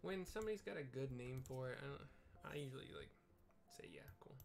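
A man's voice: mid-range voice, lively intonation, a little fast, gravelly. A middle-aged man giving casual, rambling commentary as he streams a game. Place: small room at home. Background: game music.

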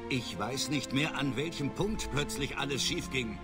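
A male actor plays a disgraced middle-aged starship captain in a video game. He is formal and measured, forever excusing himself and dodging blame, in a mid-pitched male voice over very loud music. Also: lightly acted. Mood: evasive. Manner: formal, measured, self-justifying. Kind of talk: video game voice acting, German dub.

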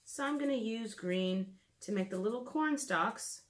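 A woman in her thirties, a children's teacher, talks gently and simply through a drawing lesson, thinking aloud, recorded in a living room by a camera a few feet away. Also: on the high side, animated, a little slow, a little hiss or hum. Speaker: children's art and reading teacher. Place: living room at home, indoors.

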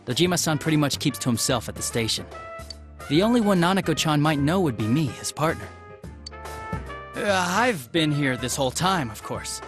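A man acts out a junior police detective in a video game, with a fairly high voice that swings around a lot. He is informal, hesitant and flustered. Music runs clearly behind him. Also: animated, moderate pace, natural voice. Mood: puzzled. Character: hapless, casual young male police detective. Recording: music under the dialogue.

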